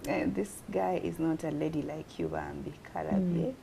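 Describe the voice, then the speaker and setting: Low, gravelly Ugandan woman host. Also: steady tone, moderate pace, mellow, a little hiss or hum. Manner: direct, advising. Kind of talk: talk-show hosting with call-ins.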